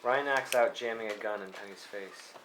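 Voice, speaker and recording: With faint noise behind him, a man with a slightly low voice reads stage directions. His delivery is plain scripted narration with small stumbles, as at a live table read. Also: normal speed. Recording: faintly noisy live room recording.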